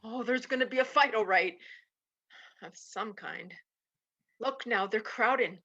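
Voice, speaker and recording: Girl doing excitable cowboy sidekick, folksy radio western, high husky voice, kinda muffled. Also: measured pace, exaggerated voice. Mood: urgent. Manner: folksy, urgent. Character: Chester, excitable rustic Western deputy with folksy drawl.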